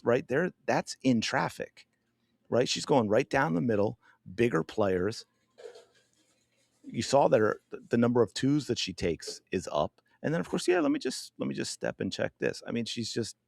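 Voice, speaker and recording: Male sports podcast host, slightly low voice, hoarse, emphatic, dry close mic. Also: no echo. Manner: emphatic, animated, addresses viewers directly. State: losing his voice. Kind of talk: opinionated sports commentary.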